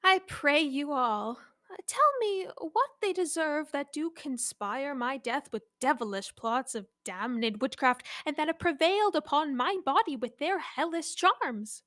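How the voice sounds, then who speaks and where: A woman's voice: sits high, lively intonation, a little slow, a bit bright, no echo, studio quality. A student actress playing a scheming, wrathful male would-be king in a Shakespearean radio play, theatrical and commanding. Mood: accusing, wrathful. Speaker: student actor.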